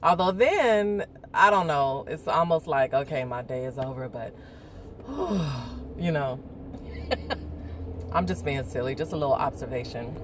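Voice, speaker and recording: A woman records a relaxed morning vlog on her phone. She talks in a loose, reflective way, drifts between thoughts and laughs easily. Her voice sits low and sounds deeper than usual, with faint noise in the background. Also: a little slow. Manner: chatty and reflective, laughs often, rambles. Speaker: vlogger. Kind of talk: casual morning talk. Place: indoors, talking to her phone.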